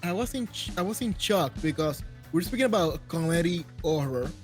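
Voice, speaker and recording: Higher-pitched male host over faint music. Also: lively intonation, a little fast. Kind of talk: casual interview chat.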